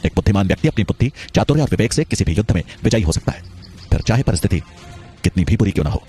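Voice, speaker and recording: A man's voice: fairly low pitch, big pitch swings, racing, mellow, faint music behind. A man playing a proud, principled scholar and teacher, speaking in an earnest, formal, declamatory way. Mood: calm, confident.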